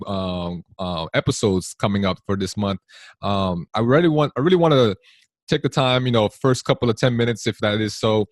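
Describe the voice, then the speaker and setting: A man hosting a casual podcast, rambling warmly with many fillers and restarts. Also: on the low side, no echo, clean studio sound. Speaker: podcast host.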